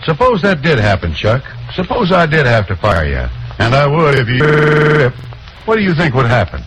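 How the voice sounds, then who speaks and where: A man's voice: medium pitch, sing-song, moderate pace, close to an everyday voice. An American actor playing an earnest, persuasive male radio station boss in a 1950s radio drama, recorded on noisy old equipment. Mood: firm, calculating.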